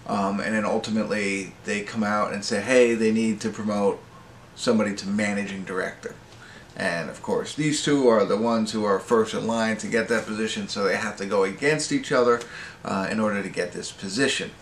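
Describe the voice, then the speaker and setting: A middle-aged American man giving a casual, unscripted movie review in a halting, rambling way, often correcting himself. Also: lowish voice, fairly even intonation. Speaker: amateur movie reviewer and host. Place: small indoor wood-panelled room at home. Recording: roomy echo with faint background noise.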